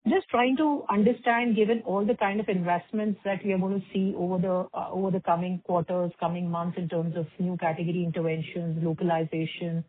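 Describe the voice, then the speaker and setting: A woman's voice: lowish voice, fairly even intonation, a little fast, few pauses, dry recording. A woman with an Indian accent, an analyst putting questions on an earnings call in a polite, hesitant, wordy way. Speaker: financial analyst asking questions. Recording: dry narrowband phone line.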